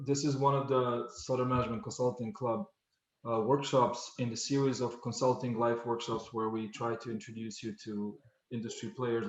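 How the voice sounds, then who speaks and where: Guy hosting a club event on zoom, kinda hesitant and formal, lots of uhs, muffled roomy sound. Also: mid-range voice. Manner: formal, hesitant, many fillers. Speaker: student club host. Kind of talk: event introduction. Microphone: video call. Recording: echoey room, dull lo-fi call audio.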